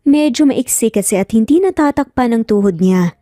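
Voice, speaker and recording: A woman's voice: fairly high pitch, lively intonation, normal speed, warm tone, no echo, studio quality, soft background music. A Filipino woman narrating a scripted romance story.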